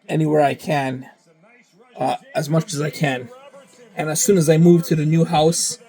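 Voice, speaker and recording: A young man talks in a loose, rambling monologue, swearing freely and circling back over the same phrases. His voice sits a little high for a man. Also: warm tone, low-quality recording. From Canada. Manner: profane, rambling, repeats himself. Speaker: vlogger. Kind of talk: casual monologue.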